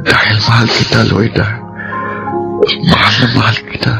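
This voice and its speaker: A man's voice: on the low side, little pitch movement, moderate pace, gravelly, loud music behind the voice. An actor playing an earnest, devoted young man in love in a Filipino radio drama, heartfelt and melodramatic, with a Filipino accent. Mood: grateful, loving.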